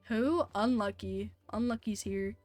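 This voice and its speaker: A woman's voice: mid-range voice, a little slow, a little mellow. A woman chatting casually on a live game stream, making short, informal, reactive remarks. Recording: music behind the voice.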